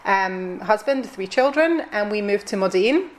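A woman's voice: mid-range voice, lively intonation, a little slow. A Scottish woman in her forties with a Glasgow accent, chatting as an interview guest with frequent ums and restarts. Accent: Glaswegian Scottish. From Scotland. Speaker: guest, concierge company owner. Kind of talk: conversational interview.

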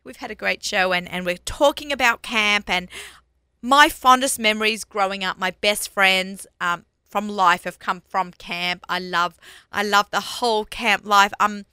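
A woman's voice: mid-range voice, unhurried, sharp tone, clean tone, dry recording. A woman in her forties with an Australian accent, hosting a radio show and chatting casually in the studio, laughing and restarting often.

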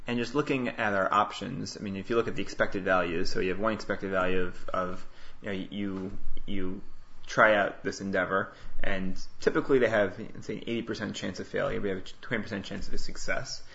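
A man from Queens explains himself casually as a founder being interviewed. His voice is fairly low, bright and full of fry. He often stops and restarts his explanations. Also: fairly even intonation, a little fast, highs cut off. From Queens, New York, United States. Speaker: interview guest, startup founder and CEO. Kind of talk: casual conversational interview answers.

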